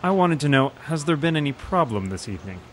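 An actor playing a pompous, mysterious crime-fighter in a melodramatic parody of old-time radio acting. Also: male voice, a little high, big pitch swings, quick pace, slight background noise. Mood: pompous, probing. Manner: melodramatic, grandly theatrical.

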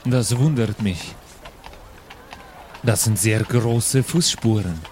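A man's voice: lowish voice, takes it slowly, a little mellow. A man improvising casually as a player in a tabletop role-playing game. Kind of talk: casual improvised in-character play. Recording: clear background noise.

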